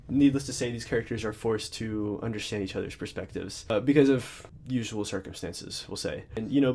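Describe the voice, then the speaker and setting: A man's voice: fairly low pitch, a little mellow. Young adult man, congested, rambling and casual; off-the-cuff reviewer. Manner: rambling, many fillers and restarts. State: congested, slightly stuffy. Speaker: book reviewer.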